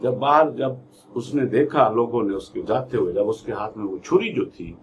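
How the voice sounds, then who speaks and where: A man's voice: medium pitch, lively intonation, moderate pace, muffled recording, slight background noise. A man with a Pakistani accent giving an explanatory law lecture, mixing Urdu and English in a didactic, word-by-word way. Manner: didactic, reads definitions out word by word then explains.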